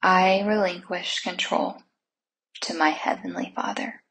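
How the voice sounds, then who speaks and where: Very breathy, slow woman guiding meditation. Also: on the low side, fairly even intonation, poor audio. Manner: soothing, unhurried, gentle instruction with long pauses. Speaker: guided meditation host.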